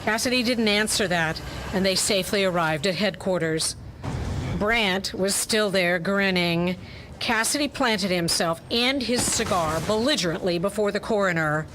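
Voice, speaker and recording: A woman's voice: mid-range voice, moderate pace. Woman narrating pulp detective fiction, faint noise.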